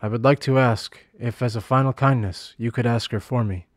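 A man playing a remorseful former soldier who speaks gravely and slowly. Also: medium pitch, fairly even intonation, no room sound, studio quality, natural voice. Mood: solemn. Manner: grave and slow.

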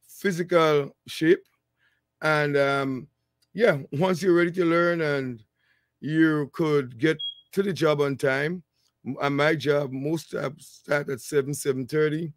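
A man in his thirties, a working technician from a small island, giving relaxed, rambling career advice on his channel with plenty of ums, seated indoors with a headset mic. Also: on the high side, no echo, clean studio sound. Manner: relaxed, rambling, many fillers. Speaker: channel host, HVAC technician. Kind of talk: casual advice vlog.

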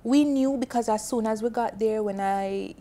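A woman's voice: on the high side, moderate pace. A woman in her thirties with a Jamaican accent, a guest on a TV panel, telling a personal story earnestly and with feeling, on a lapel mic in a studio. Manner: earnest, emotional, animated with hand gestures. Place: indoor TV studio set on a sofa.

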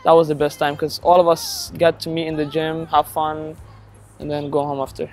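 Young man in a relaxed outdoor interview. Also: on the high side, moderate pace, soft background music. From Ethiopia. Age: young adult. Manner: relaxed, with fillers like "like". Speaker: high school graduate. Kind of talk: casual testimonial. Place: outdoors by a brick building.

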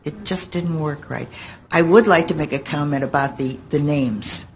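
A woman's voice: low-pitched, moderate pace. A woman explaining things to an audience as a panelist. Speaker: panelist, devoted fan of old radio. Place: hotel meeting room, panel table. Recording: slightly noisy meeting-room amplification.

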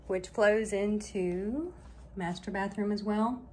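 A woman's voice: mid-range voice, takes it slowly, slight background noise. A woman working as a real estate agent, narrating an informal walk-through of a property. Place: walking through a house and its yard.

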